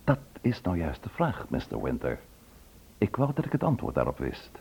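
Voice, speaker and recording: An actor playing a courteous, probing chief inspector in a radio play. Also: male voice, a bass voice, wildly swooping pitch, moderate pace, gravelly, darkish tone, slightly rough, heavily acted. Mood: measured, puzzled. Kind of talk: Dutch radio drama acting. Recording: muffled old radio play recording.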